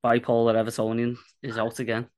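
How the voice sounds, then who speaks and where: A man's voice: mid-range voice, completely flat delivery, fairly quick. A man co-hosting a casual sports podcast, plain-spoken and self-mocking. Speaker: podcast co-host. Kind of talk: casual chat.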